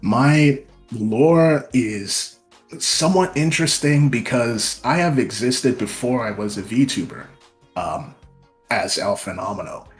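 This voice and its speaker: A man's voice: mid-range voice, slow pace, soft background music. A young man from Nigeria, a VTuber guest, talking casually and a little self-deprecatingly about himself in an interview.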